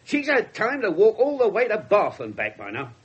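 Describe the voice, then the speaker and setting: An actor playing a brusque, sardonic English police detective in a 1970s radio drama, with a dry, clipped manner. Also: male voice, sits high, huge pitch swings, normal speed, husky, full character voice. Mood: impatient. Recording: 1970s radio broadcast with faint hiss.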